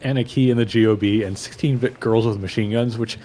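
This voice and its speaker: A man chatting casually with friends while playing a co-op video game, wry and relaxed, reading menu items aloud. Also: fairly low pitch, fairly quick, slight background noise. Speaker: co-op game player. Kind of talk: casual gaming chat. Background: faint game music.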